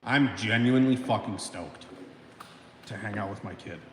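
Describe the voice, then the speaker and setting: A man's voice: lowish voice, moderate pace, a bit bright. A man in his thirties hosting a casual livestream from his home garage. He reads out chat comments, rambles, swears often and laughs between sentences. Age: 30s. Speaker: livestream host reading chat. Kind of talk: casual live-stream chat. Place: home garage, alone by a car lift. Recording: echoey garage with faint background noise.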